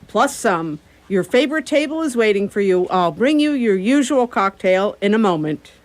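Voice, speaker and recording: A woman's voice: medium pitch, expressive, normal speed, natural voice. A woman acting in a radio play as a gracious, effusive hotel hostess with a sardonic edge. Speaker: actor in a community radio play.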